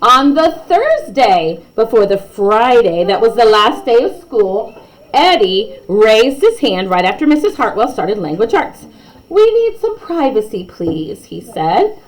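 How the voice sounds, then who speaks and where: A woman's voice: on the high side, big pitch swings, unhurried, low-quality recording. A woman reading a children's bedtime story aloud expressively, with chatty asides to young listeners.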